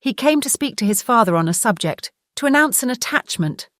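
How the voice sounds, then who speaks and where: An actress with a British accent playing a gentle, distressed married gentlewoman in an audiobook drama, speaking earnestly and hesitantly. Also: on the high side, animated, fairly quick, no echo, clean studio sound. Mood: shaken. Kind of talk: full-cast audiobook drama reading.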